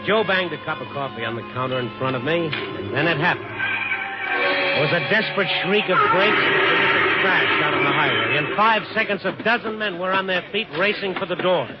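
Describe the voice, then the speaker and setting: Wry american newspaper reporter, 1950s radio mystery, dull old recording w/ music under it. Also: male voice, fairly high pitch, sing-song, moderate pace. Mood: tense narration. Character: male newspaper night reporter, wry and hard-boiled. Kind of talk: 1950s radio drama acting and first-person narration.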